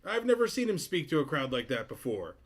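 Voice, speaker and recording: A man's voice: fairly high pitch, very animated, brisk. A man playing a curt, self-important, skeptical mayor in a sitcom table read, speaking in a formal, clipped manner.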